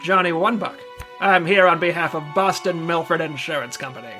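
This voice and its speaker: An actor playing a hard-boiled, wisecracking insurance investigator in an old-time radio noir, brisk and wry. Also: male voice, a little high, pushed and bright, soft background music. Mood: wry, businesslike.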